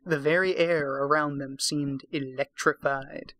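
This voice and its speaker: A man's voice: a little high, steady tone, a little slow, pushed and bright, no room sound. A tipsy man hosting a casual comic read-aloud, narrating and breaking off for sweary, sarcastic commentary. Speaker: host, narrator.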